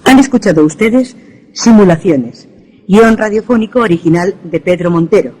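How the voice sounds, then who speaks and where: A woman's voice: medium pitch, moderate pace, darkish tone. A woman reading formal scripted credits as a radio drama announcer.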